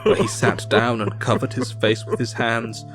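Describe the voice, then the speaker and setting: An actor with an English accent playing an earnest, grieving Victorian doctor, narrating his diary in measured, formal speech for an audio drama. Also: medium pitch, steady tone, fairly bright tone, faint music behind, lightly acted. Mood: solemn, grieving.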